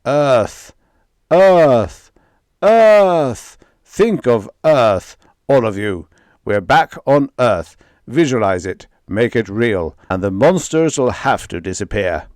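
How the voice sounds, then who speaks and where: A man's voice: mid-range voice, animated, slow, a little mellow, no room sound. A man voicing a fierce crewman who bellows commands at the others in a science fiction story reading. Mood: commanding.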